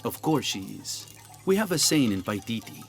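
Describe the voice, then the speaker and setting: A man's voice: mid-range voice, big pitch swings, normal speed, natural voice. An actor playing a proud, roguish gambler in a video game, sly and philosophical as he teaches. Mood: sly, amused. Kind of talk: video game cutscene acting. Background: music.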